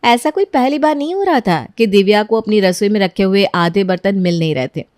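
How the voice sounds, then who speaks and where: A woman narrating a scripted family story in an even storytelling manner. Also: mid-range voice, fairly quick, no echo, clean studio sound.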